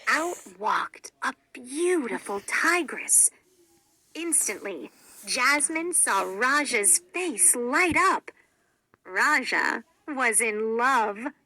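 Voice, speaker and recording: A woman narrating a children's read-along story in a deliberate, expressive storytelling manner. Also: fairly high pitch, poor audio.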